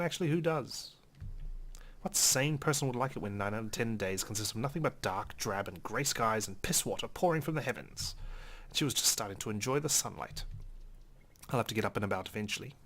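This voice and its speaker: A man's voice: on the low side, fairly bright tone. A man reading visual novel text aloud as a let's player, with occasional brief commentary.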